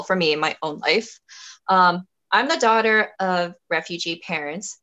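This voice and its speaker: A young woman with a notably low, full voice talks through a personal question on a podcast. She is earnest and reflective, circling back with fillers and restarts as she works out her thoughts. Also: a bit bright. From Canada. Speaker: podcast guest. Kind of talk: reflective conversational interview.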